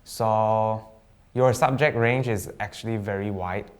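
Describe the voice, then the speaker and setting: A young man from Sabah, a student guest chatting casually on a live stream, relaxed and jokey with slang and hesitant fillers. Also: fairly low pitch, measured pace. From Sabah, East Malaysia. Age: young adult.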